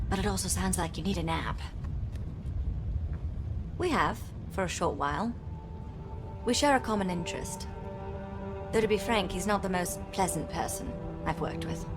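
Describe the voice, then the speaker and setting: An actress playing a cool, dryly witty intelligence operative in a cinematic video game. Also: female voice, medium pitch, natural voice. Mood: frank. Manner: dry, wry. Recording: loud music behind.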